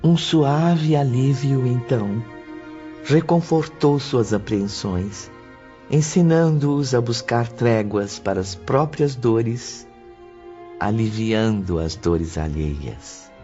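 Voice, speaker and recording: A man's voice: mid-range voice, animated, slow pace, warm tone, lo-fi sound, faint music behind. A man reading scripted audiobook narration in a solemn, literary manner.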